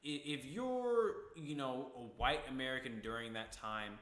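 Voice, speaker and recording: A man's voice: mid-range voice, very animated, moderate pace, a bit bright, reverberant. A man from Ithaca, New York, co-hosting a casual discussion podcast, talking emphatically and swearing freely when worked up. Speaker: podcast co-host.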